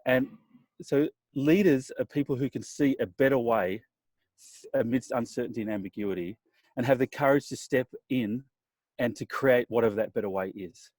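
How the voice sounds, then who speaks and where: A man's voice: mid-range voice, normal speed, darkish tone, dry recording. An earnest man introduces a leadership webinar in a mid-range voice. The sound is dry and close, and he leans on fillers as he speaks. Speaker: business partner introducing the webinar.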